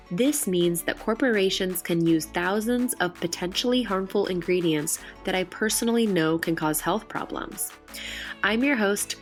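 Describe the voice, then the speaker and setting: A woman hosting a beauty podcast, upbeat in her intro and direct when she questions her guest. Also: fairly low pitch. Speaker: podcast host.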